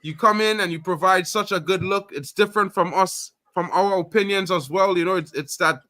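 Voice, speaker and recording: A man from Trinidad hosts a casual live football stream, talking loudly and excitedly with repeated catchphrases. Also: sits high, fairly quick, no echo. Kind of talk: casual live sports chat.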